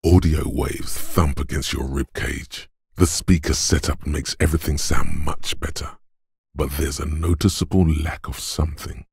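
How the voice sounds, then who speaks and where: A man playing a gruff, domineering inner voice of brute strength in a video game, blunt and deliberate in delivery. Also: fairly even intonation, darkish tone, dry recording, studio quality, exaggerated voice. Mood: measured narration. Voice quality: gravelly, husky bass. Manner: blunt, domineering gym-coach goading mixed with slow literary narration. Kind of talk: video game voice acting, scripted narration.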